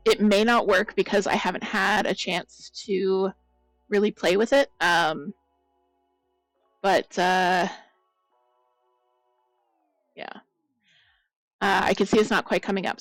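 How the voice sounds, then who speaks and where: Chatty female streamer, gravelly croaky voice, overloaded blown-out mic, dry sound. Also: mid-range voice, no room sound, rough recording. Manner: chatty, rambling, greets viewers by name. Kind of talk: casual stream chat.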